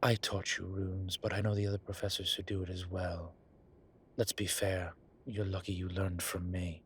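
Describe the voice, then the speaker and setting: A man voicing a flirtatious, smitten demon professor in an intimate audio roleplay, husky and breathy, with halting, wry phrasing. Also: sits low, little pitch movement, slight background noise. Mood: playful, teasing. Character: teasing, smitten male incubus demon professor. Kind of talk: intimate one-sided audio roleplay acting.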